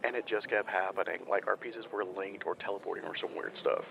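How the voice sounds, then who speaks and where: A man's voice: sits low, fairly even intonation, quick pace, creaky, crowd noise. A man playing a laid-back, baffled young dude calling a hotline in an audio drama, talking like a casual slacker over a muffled line. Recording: dull, muffled phone-call sound.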